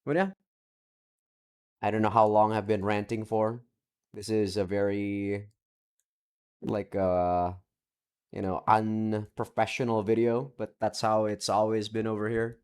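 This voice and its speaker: Young male let's-play creator, lowish voice, chatty, meandering, casually profane. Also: little pitch movement, a little slow, pauses often, a little mellow, smooth. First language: not Japanese. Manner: rambling, self-interrupting, swears casually. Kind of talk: casual rambling update to viewers. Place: home room with several monitors.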